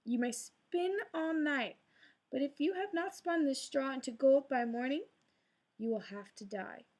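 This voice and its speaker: A woman voicing a greedy fairy-tale king who gives slow, stern commands. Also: sits high, darkish tone.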